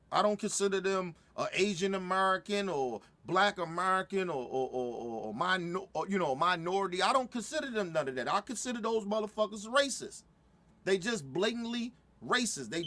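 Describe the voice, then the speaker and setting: Middle-aged man, fired-up reaction host, high-pitched voice, stumbling restarts. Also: expressive, normal speed, bright, forceful tone. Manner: heated, repetitive, stumbling restarts. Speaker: commentator reacting to a video. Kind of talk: profane casual reaction commentary.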